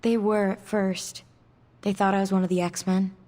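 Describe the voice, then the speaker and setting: A woman's voice: medium pitch, level tone, moderate pace, dark tone, natural voice. A woman playing a guarded, earnest young mutant outcast in video game dialogue, speaking casually and candidly with some hesitation. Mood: wistful, sincere.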